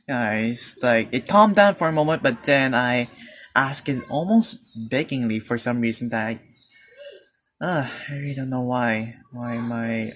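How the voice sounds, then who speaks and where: Slow hesitant teen guy w/ filipino accent, faint hiss behind. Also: mid-range voice, a bit bright. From Philippines. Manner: hesitant, halting, many fillers and restarts. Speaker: student. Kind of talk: personal confession monologue.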